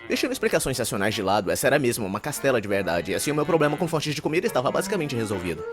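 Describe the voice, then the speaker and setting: Guy acting as nerdy neurotic brazilian college shut-in, anime dub narration, talks fast n wordy, very up and down, bit pompous. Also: mid-range voice, big pitch swings, faint music behind, natural voice. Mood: musing. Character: neurotic, verbose young male university student shut-in narrator. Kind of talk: dubbed anime narration, rapid inner monologue.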